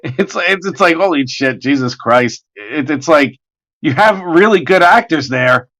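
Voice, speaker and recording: Hoarse man, bright high voice, jokey and excitable, casual stream host. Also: a little slow. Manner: jokey, excitable, swears freely. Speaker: artist hosting a livestream. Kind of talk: casual chat while drawing.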